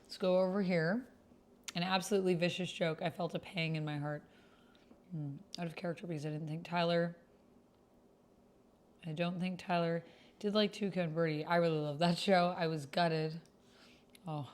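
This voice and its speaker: Lower-pitched woman reading roleplay aloud. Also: steady tone, normal speed, long pauses. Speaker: solo tabletop roleplay player and host. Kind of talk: reading aloud text roleplay with casual commentary.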